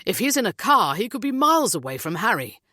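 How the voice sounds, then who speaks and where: A woman's voice: mid-range voice, very animated, fast talker, pushed and bright, no room sound, studio quality, pitched above the performer's own voice. A woman voicing a worried, insistent father in an audiobook. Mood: distressed. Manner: urgent, pressing.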